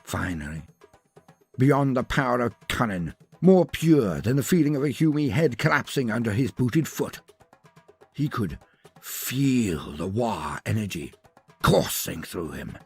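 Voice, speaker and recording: A man narrates battle fiction in a husky, highly animated voice. He is theatrical, leaning on dramatic pauses and emphasis, with soft music running underneath. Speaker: story narrator.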